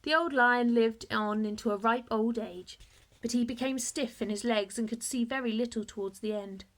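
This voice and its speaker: A woman reading a children's storybook aloud as a first-person narrator, in a measured, expressive way. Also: on the high side.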